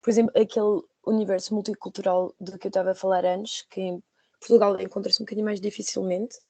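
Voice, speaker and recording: Mellow young woman, hesitant podcast guest. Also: mid-range voice, flat delivery, quick pace, dry recording. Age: 20s. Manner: hesitant, searching for words with repetitions. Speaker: podcast guest, former trainee. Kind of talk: conversational interview answers.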